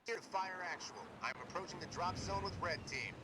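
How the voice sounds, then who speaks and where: A man's voice: high voice, expressive, brisk, heavy background noise. An actor playing a disciplined, battle-ready male super-soldier in a video game, with clipped military delivery. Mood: focused, ready. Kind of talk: video game unit dialogue.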